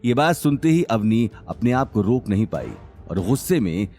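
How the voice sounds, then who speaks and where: A male narrator tells a scripted dramatic story. His voice is fairly low and very animated in pitch, with faint music behind it. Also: normal speed.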